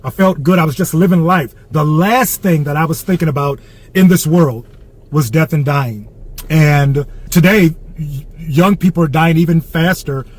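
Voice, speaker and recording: A man's voice: on the high side, moderate pace. An older man giving a blunt motivational talk to young men, repeating his points and swearing for emphasis. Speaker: motivational speaker in a played clip.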